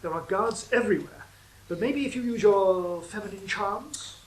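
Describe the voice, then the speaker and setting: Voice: medium pitch, animated, normal speed, lightly acted. An actor playing a royal poet in a comic radio play, theatrical and flowery, pleading and scheming. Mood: pleading, flustered. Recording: roomy echoing sound.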